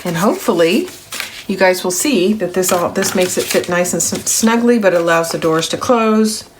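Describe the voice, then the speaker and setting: A middle-aged woman, a little gravelly from grogginess, hosting a papercraft tutorial in a chatty, step-by-step way. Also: lowish voice, animated, moderate pace, noisy background. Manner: chatty, step-by-step, self-correcting. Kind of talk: crafting tutorial voice-over.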